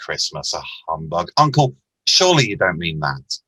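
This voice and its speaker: A man playing a good-hearted, forgiving nephew in an amateur radio play, earnest and pleading, with a husky voice. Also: low-pitched, sing-song, moderate pace, sharp tone, no echo, exaggerated voice. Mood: teasing disbelief. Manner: earnest, pleading, hesitant starts.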